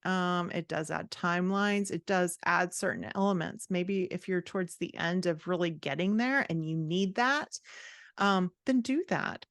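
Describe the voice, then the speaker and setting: Middle aged lady, bit of a low voice, dry sound, podcast guest giving candid sassy writing coach type advice. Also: vocal fry, no echo. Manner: candid, emphatic, self-described sassy. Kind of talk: conversational interview answers, explaining and advising.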